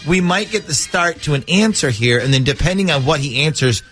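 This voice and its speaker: An animated man hosting a morning radio show, with a slightly high voice that swings around a lot. Faint music can sit behind his lively banter. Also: brisk.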